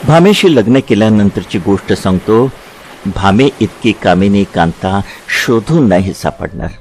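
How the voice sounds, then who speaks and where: A man performs a tender lover looking back on his life in a literary audio drama. His voice sits fairly low and he speaks reflectively, sometimes halting to repeat a word. A little ambient noise runs behind him. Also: expressive, darkish tone, low-quality recording. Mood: tender reminiscing. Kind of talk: dramatized literary reading in dialogue.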